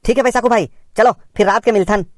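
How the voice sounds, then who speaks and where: A woman as an eager high-voiced village lad. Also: quick pace, dry recording, clean studio sound, lightly acted. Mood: excited. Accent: Chhattisgarhi. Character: eager young village man, party-loving sidekick. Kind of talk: amateur cartoon comedy dialogue.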